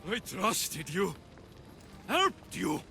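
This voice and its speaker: Young warrior and family man, game drama: passionate, very wide pitch swings, over music. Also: wildly swooping pitch, drawn-out words, creaky, full character voice. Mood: betrayed, angry. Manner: emotional, forceful when roused. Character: passionate young warrior, devoted son-in-law and father. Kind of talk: dramatic video game acting. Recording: loud music behind the voice.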